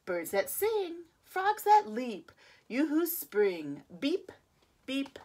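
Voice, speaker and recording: Slow, sing-song storytime teacher woman. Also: sits high, wildly swooping pitch, a little mellow. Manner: sing-song, playful storytime delivery with gasps and counting. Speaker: preschool teacher. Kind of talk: reading a picture book aloud to young children.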